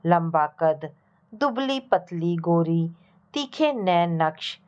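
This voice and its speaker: A woman reading a scripted first-person story as a narrator, in a measured storytelling way. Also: fairly low pitch, animated, a little mellow, dull audio.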